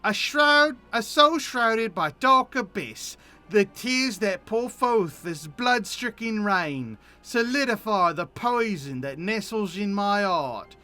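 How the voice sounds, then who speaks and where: A man's voice: sits high, expressive, slow, sharp tone, faint music behind, exaggerated voice. A man voicing a gloomy, timid young student with an earnest, formal way of speaking, reading game dialogue aloud. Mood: hesitant, gloomy.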